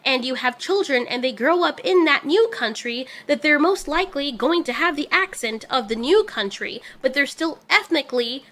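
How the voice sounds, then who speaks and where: A woman's voice: high voice, expressive, normal speed, few pauses, a bit bright. A woman hosting a commentary video, giving a firm, lecturing opinion monologue. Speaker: commentary channel host.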